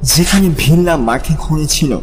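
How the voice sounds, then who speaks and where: A man's voice: a little high, expressive, normal speed, over music. A man narrating fiction in a dramatic, scripted audiobook style.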